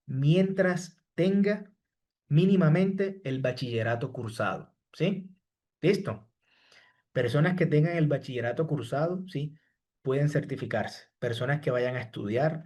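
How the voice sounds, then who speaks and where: A man's voice: fairly high pitch, a little mellow. A man teaching a live online class, answering students from the chat by name and ending nearly every point with "¿sí?" and "estimados". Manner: repeats "¿sí?" and "estimados" after each point. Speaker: online course instructor.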